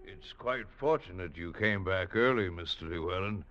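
An actor playing a secretive village innkeeper in a 1940s radio drama, speaking with formal, oily politeness. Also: male voice, deep voice, moderate pace, fairly bright tone. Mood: sly, formal. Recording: dull vintage radio recording.

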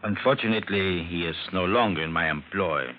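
A man playing a smooth, politely evasive dealer with formal, measured phrasing in a 1940s radio drama. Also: sits low, slight background noise, lightly acted. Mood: formal, obliging. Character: smooth, accommodating dealer in valuable pieces, subtly evasive. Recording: old lo-fi radio drama recording.

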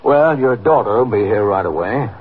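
An actor playing a composed, official police captain in a 1950s radio drama, measured and matter-of-fact. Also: male voice, fairly low pitch, lively intonation, husky. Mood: calm, collegial. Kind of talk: 1950s radio drama acting and scripted narration. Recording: dull, noisy 1950s radio recording.

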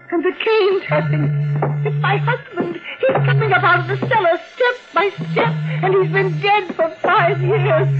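An actress playing a frightened, clinging widow near sixty in 1940s radio suspense style, melodramatic and pleading. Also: female voice, very high-pitched, full character voice. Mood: hysterical, terrified. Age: older. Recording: dull vintage radio recording with music.

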